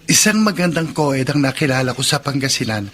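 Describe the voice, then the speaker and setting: Actor as sincere wistful young guy, mid pitch, radio drama, noisy ambience behind. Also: lively intonation, fairly quick, a bit bright, natural voice. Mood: shy, hopeful. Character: sincere, wistful young man grieving his sister. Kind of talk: Filipino radio drama acting.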